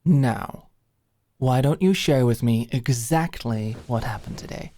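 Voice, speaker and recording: A woman's voice: a bass voice, a little slow, mellow. A woman playing an affectionate, fussing and coaxing ex-manager girlfriend in an intimate ASMR roleplay. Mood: doting, fussing. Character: doting, eager, dominant ex-manager girlfriend at home.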